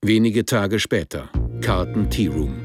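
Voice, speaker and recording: A man's voice: fairly low pitch, lively intonation, measured pace. A man making formal, factual scripted announcements in a radio drama. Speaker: announcer of dates. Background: loud music with guitar.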